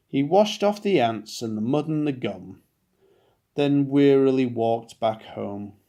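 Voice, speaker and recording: Creaky-voiced dad reading a children's story. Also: male voice, medium pitch, darkish tone. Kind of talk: children's picture-book narration.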